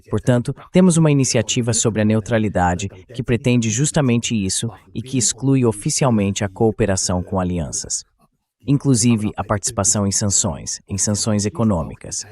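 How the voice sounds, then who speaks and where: A man with a slightly low voice. He talks as a guest in a political interview, laying out his points in a measured, explanatory manner. The delivery is that of a translated voice-over. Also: darkish tone.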